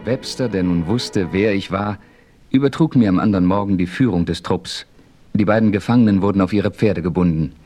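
Low-voiced, self-assured western hero, played by a man. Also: a little fast, darkish tone, soft background music, lightly acted. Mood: narrating. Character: calm, self-assured western hero telling his own adventure. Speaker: narrator and hero. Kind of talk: scripted dramatic narration in a radio play.

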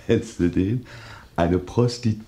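A man's voice: fairly low pitch, mellow. An actor in a radio crime drama playing a pompous, boozy, suspicious village policeman who blusters. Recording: noisy, echoing radio play recording.

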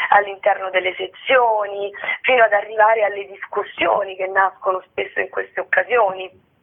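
A woman's voice: mid-range voice, slightly rough. A woman explains her work in a radio interview, choosing her words reflectively. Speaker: theatre director being interviewed. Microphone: telephone. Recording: narrow tinny phone line.

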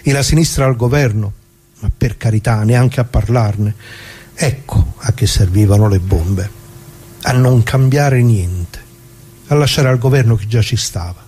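A man's voice: mid-range voice, measured pace, mellow, husky. An actor playing a plain-spoken left-wing worker who recalls the past in a colloquial theatrical monologue, putting questions to his listeners. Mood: bitter, questioning. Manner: conversational, rhetorical questions to listeners. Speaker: narrator of radio drama.

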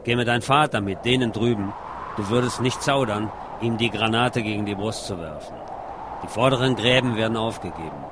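A man's voice: fairly low pitch, moderate pace, noisy background. A man giving a literary reading from a war novel. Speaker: reader.

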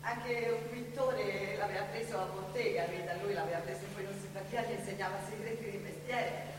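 A woman's voice: mid-range voice, steady tone, fairly quick, lightly acted. An actress playing an anxious, apologetic Florentine mother in a stage drama, pleading and emotional, with a Tuscan accent, in a hall. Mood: wistful. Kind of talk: live stage drama acting. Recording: very echoey noisy hall from far off.

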